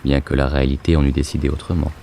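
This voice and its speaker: A man narrating a scripted fantasy story in a measured, literary storytelling manner. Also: very deep voice, flat delivery, gravelly, background noise.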